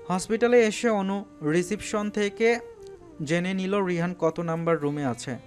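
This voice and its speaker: A man reading a scripted love story as a dramatic audio narrator. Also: a little high.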